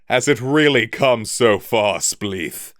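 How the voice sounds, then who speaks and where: A man's voice: medium pitch, animated, slow, no room sound, studio quality, voice pushed up. A man voicing a proud sky-ship captain who bellows and scoffs at a mutineer. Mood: angry commanding. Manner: loud, scornful and commanding.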